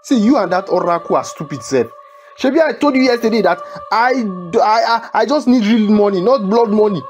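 A man's voice: sits high, animated, a little fast. Give the voice of a man acting a poor, desperate husband with a Nigerian accent. He stammers and repeats himself in melodramatic film style. Music plays behind him. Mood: indignant, defiant. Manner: stammering, agitated, repeats words. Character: desperate poor husband entangled in a money ritual.

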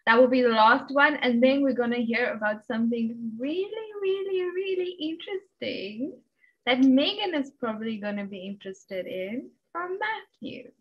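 Young woman host, really high voice, playful and chatty, slightly muffled video call sound. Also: lively intonation, moderate pace. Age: young adult. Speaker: show host. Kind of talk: casual, joking panel chat. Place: small home room, video call. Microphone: desk microphone with pop filter. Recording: dull video-call audio.

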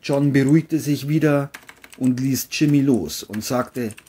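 A man narrates a scripted crime audio story. His voice is mid-pitched for the reading and has a husky edge. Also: unhurried, warm tone.

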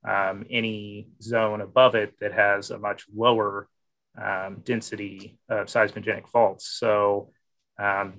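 Thirties male panelist, flat delivery, bright edge, webcam call. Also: fairly low pitch, low-quality recording. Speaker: regulatory manager panelist. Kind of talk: panel discussion.

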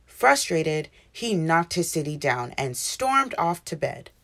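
A woman reads a children's story aloud. Her narration is animated and expressive, with pitch that moves widely. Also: takes it slowly. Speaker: children's book reader.

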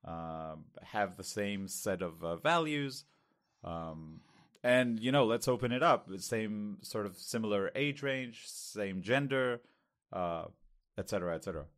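A man with an Israeli accent, a Hebrew native speaker, talking candidly on a podcast, thinking aloud with many pauses and fillers. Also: lowish voice, lively intonation, fairly bright tone. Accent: Israeli, lighter than most. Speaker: podcast co-host. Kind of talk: casual conversation.

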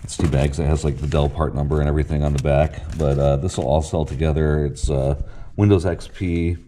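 A man's voice: a bass voice, steady tone, brisk, mellow. A man vlogging in a casual, unscripted way, with a rambling, hesitant, matter-of-fact delivery. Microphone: action camera worn or handheld. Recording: noisy action camera, traffic and ambient noise.

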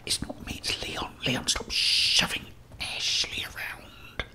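Whispering middle-aged man, unhurried. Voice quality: breathy whisper. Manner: chatty, playful asides to game characters. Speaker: video game streamer. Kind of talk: whispered ASMR gameplay commentary.

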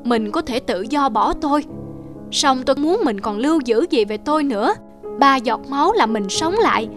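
Woman voicing a high-pitched, earnest poor husband. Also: animated, moderate pace, soft background music. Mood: sorrowful. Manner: earnest, plaintive. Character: humble, devoted poor young husband.

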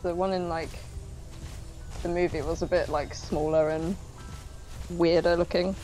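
A woman's voice: on the low side, fairly even intonation, unhurried, warm tone, faint music behind, poor audio. A woman chatting casually as she plays a game with friends. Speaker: gamer playing alongside friends. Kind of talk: casual gaming chat.

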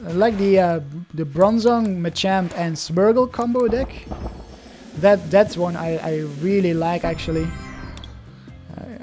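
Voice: medium pitch, measured pace, warm tone, soft background music. A young adult from Belgium, a native Dutch speaker with a Belgian Dutch accent, chatting casually in hesitant, restart-filled commentary while opening card packs.

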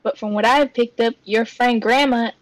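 A woman's voice: a little high, fairly even intonation, normal speed, no echo, close to an everyday voice, low-quality recording. A woman voicing a cheerful, easygoing, goofy male cartoon buddy with a folksy, chummy manner. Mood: teasing.

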